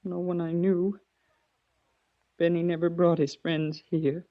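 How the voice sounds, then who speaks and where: A woman's voice: lowish voice, little pitch movement, takes it slowly, no room sound. An actress playing a grieving, guarded middle-aged mother in a weary, mournful 1940s radio drama manner. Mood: guarded, bitter. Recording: dull, dry vintage 1940s radio recording.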